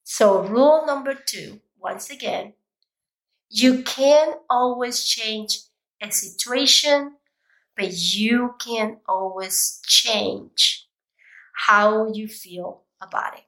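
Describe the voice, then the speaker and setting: A woman with a slightly raspy voice giving an emphatic, deliberate motivational talk, as a coach speaking directly to viewers. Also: fairly high pitch, a bit bright, low-quality recording.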